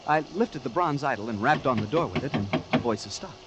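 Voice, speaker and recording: A man's voice: medium pitch, expressive, fairly quick, lo-fi sound, lightly acted. An actor with an American accent playing a hapless, polite tourist who narrates his misadventure in a 1940s radio drama. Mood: tense narration. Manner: hesitant, stammering in dialogue, dramatic when narrating. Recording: noisy vintage 1940s radio broadcast.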